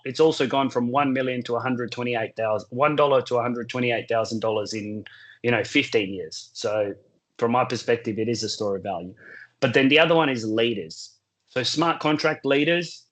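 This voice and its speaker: A man with a sore throat, losing his voice, talking through a solo market update in a measured, explanatory way. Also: medium pitch, fairly bright tone. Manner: measured, explanatory, works through points in numbered order. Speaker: crypto market commentator.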